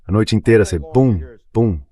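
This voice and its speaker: A man with a low-pitched voice speaks as a guest in a dubbed interview. He explains things conversationally and slips into anecdote, keeping a deep register. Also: normal speed, dark tone. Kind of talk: dubbed translation of a spoken interview.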